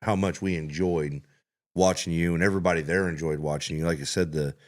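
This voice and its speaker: A man's voice: low voice, fairly even intonation, fairly quick. A man hosting a podcast who chats casually and eagerly promotes the show. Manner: enthusiastic, promotional. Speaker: podcast host.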